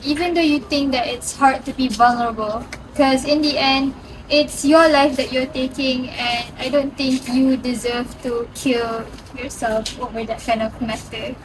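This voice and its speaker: A woman's voice: sits high, distant mic, poor audio. A young woman. She offers an earnest personal reflection as a student, over faint noise. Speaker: student performer.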